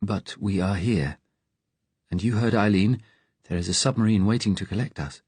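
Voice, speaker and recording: A man narrating an audiobook, voicing a calm, direct resistance woman with a serious, measured manner. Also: fairly low pitch, slightly rough, no room sound. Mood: calm, gentle. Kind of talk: audiobook character dialogue.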